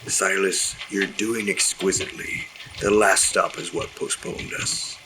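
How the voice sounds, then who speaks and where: An actor playing a solemn older sea captain in an audio drama, speaking in formal, old-fashioned, poetic phrases. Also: male voice, low-pitched, level tone, measured pace, noisy background. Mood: commanding, calm. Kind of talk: period audio drama acting.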